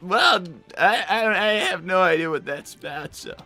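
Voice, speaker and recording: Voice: mid-range voice, lively intonation, normal speed, gravelly, sharp tone, soft background music. A performer voicing a young boy fox in an improvised cartoon livestream, an awkward sidekick who stammers and gets defensive.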